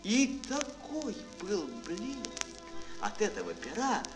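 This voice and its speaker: A man narrating a children's fairy tale theatrically, with dramatic phrasing and pauses, as a storyteller in an audio play. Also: high voice, huge pitch swings, poor audio. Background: music.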